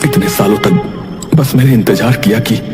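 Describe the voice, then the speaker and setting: An earnest, poor village man from a cartoon folk tale, acted by a man. He is a devoted, determined husband who speaks with melodramatic feeling at a middling male pitch, over clear background music. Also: normal speed, lightly acted. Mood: sorrowful resolve. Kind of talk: acted dialogue in a Hindi cartoon moral story. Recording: music behind the voice.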